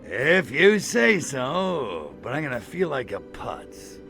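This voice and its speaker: A man voicing a cocky, wisecracking little alien invader in a video game, sardonic and slangy with a gravelly voice. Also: mid-range voice, wildly swooping pitch, takes it slowly, loud music behind the voice, heavily acted. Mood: wry. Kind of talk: video game cutscene acting.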